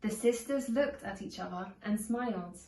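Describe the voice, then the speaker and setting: A woman narrating a children's storybook aloud in a measured, deliberate storytelling manner. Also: medium pitch, warm tone. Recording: echoey room, distant from microphone.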